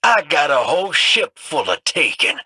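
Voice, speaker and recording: An actor playing a cocky, folksy old gunslinger rogue in a video game, talking with a drawling, wisecracking swagger. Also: male voice, fairly high pitch, big pitch swings, normal speed, sharp tone, breathy edge, exaggerated voice. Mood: wry. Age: older.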